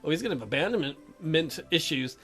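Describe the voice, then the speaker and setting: A man gives wisecracking game commentary in a bright voice with animated intonation. His asides are sarcastic and joking, and soft music plays faintly behind him. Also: on the high side, a little fast. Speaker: let's play host.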